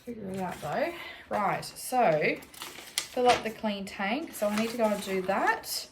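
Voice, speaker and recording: A woman with an Australian accent, croaky from allergies, chatting casually as she works through a DIY cleaning job at home. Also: mid-range voice, animated, a little slow, background noise. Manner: thinking aloud, chatty, self-correcting. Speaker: homestead vlogger. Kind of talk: casual DIY vlog chat. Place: home, indoors.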